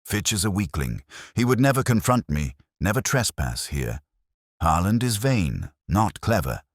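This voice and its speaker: A man voicing a ponderous, brilliant detective who speaks in measured, formal pronouncements, in an audiobook. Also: lowish voice, sing-song, no room sound, studio quality, pitched above the performer's own voice. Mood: cool judgment. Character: ponderous, brilliant, imperious male detective, coolly judgmental. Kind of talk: audiobook character dialogue.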